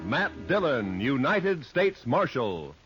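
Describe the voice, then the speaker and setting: An actor playing a bold, portentous announcer for a 1950s western radio drama. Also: male voice, mid-range voice, big pitch swings, slow, over loud music.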